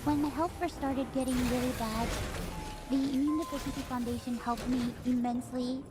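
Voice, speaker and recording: A woman YouTuber giving a prepared video statement, halting and distressed. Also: sits high, steady tone. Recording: heavy background noise.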